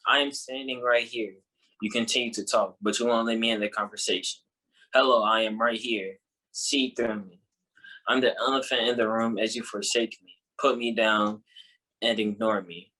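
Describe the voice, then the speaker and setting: A man's voice: medium pitch, sharp tone, poor audio. A young male poet reading his own spoken-word poem aloud. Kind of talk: spoken-word poetry recital.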